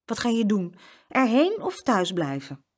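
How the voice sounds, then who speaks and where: Casting: woman as a caring little sister for a storybook, coaxing and earnest, high pitch, lively swings. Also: a little slow, heavily acted. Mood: hopeful, scheming. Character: caring, anxious young twin sister, eager peacemaker. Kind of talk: children's storybook character reading.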